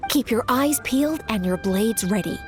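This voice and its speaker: A woman's voice: fairly high pitch, animated, normal speed, faint music behind, close to an everyday voice. An actress playing a poised, decisive young female commander in a video game, speaking formally and with encouragement. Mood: encouraging. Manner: formal, crisp orders, encouraging.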